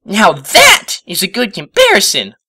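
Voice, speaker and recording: A man voicing a hyper, emotional young female cartoon pony with dramatic, excitable outbursts in a storybook reading. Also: sits high, a little slow, slightly rough, no room sound, clean studio sound, voice pushed up, exaggerated voice. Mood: excited, dramatic.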